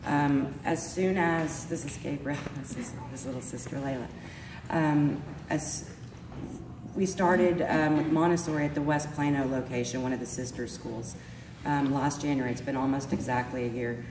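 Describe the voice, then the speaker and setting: A woman's voice: very deep voice, steady tone, normal speed. A woman, stuffy from allergies and a little sore-voiced, giving an earnest parent testimonial with many ums. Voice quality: slightly sore voice. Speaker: parent speaking at a school event. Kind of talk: personal testimonial speech. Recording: echoey hall, speaker far from mic, noisy.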